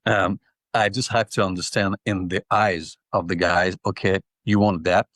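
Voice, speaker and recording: A man with a very low voice answers in English that is not his first language. He hesitates and repeats words as he reaches for phrasing. The sound is dry and close. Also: fairly even intonation, fairly bright tone, dry recording. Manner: halting, repeats words while searching for English. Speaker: actor and interview guest. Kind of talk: casual interview answers.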